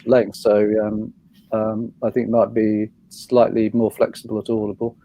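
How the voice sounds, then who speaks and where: Man, panel moderator, measured prompts, mellow tone. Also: lowish voice, monotone. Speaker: panel chair. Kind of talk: moderating panel, reading audience questions. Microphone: video call.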